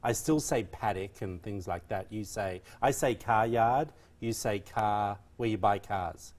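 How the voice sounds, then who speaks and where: Chatty woman with a soft Australian accent. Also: very deep voice, measured pace. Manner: chatty, laughs often, stretches out words for effect. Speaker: co-host. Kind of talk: casual chat.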